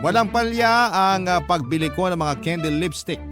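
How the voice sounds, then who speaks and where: Guy playing hopeful lovestruck young man telling his story, sentimental radio drama, slightly high voice, loud violin music under it. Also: normal speed, close to an everyday voice. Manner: earnest, sentimental. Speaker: story narrator. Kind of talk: scripted dramatic first-person narration.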